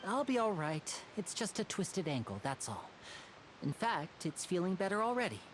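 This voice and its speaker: An actor playing a charming, easygoing young stage magician in a video game, polite and reassuring. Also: male voice, high voice, big pitch swings, normal speed, noisy background. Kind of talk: video game character acting.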